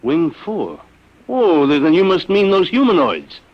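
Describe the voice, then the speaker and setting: Harried husband, animated, dull sound. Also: male voice, fairly high pitch, a little slow, exaggerated voice. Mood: hesitant. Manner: stammering, hesitant, blustery. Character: harried, grumbling small-business husband, hesitant but stubborn. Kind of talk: 1950s radio drama acting. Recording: dull vintage radio recording.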